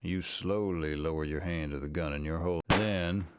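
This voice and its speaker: A man's voice: deep voice, fairly even intonation, moderate pace, background noise. A man narrating a scripted second-person story for a visual novel game.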